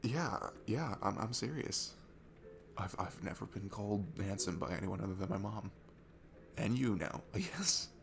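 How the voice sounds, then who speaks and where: A man playing a shy, nervous, sweet guy at a bar in an intimate ASMR roleplay, stammering and rambling apologetically in a low, gravelly, breathy voice. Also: lively intonation, normal speed. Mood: flustered, giddy. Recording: music behind the voice. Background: music.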